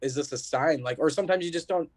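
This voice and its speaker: A man's voice: a little high, fast talker, darkish tone. A man appearing as a podcast guest, chatting casually with informal, laid-back, slangy phrasing. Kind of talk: casual chat.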